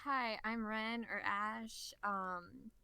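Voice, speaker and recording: A woman's voice: medium pitch, fairly even intonation, drawn-out words, creaky, pushed and bright, smooth, no room sound. A voice actor with a female-sounding voice, casually introducing themself on a panel.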